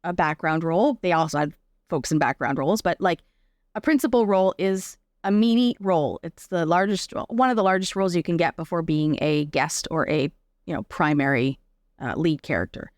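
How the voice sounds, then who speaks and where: A woman speaking as an interview guest, giving a personal account in a reflective, measured and explanatory conversational way. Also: low-pitched, no room sound, studio quality. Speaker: podcast guest, actor and advocate.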